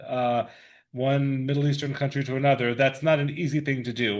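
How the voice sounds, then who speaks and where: Guy hosting a podcast, a rabbi, relaxed interview talk with fillers. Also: mid-range voice, a little fast.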